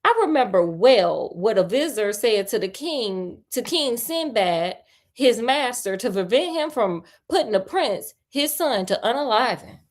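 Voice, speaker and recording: Woman reading as emphatic, animated storybook king. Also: fairly high pitch, a little slow. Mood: firm, indignant. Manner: emphatic, formal storybook speech. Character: loyal, trusting storybook king, firm and indignant.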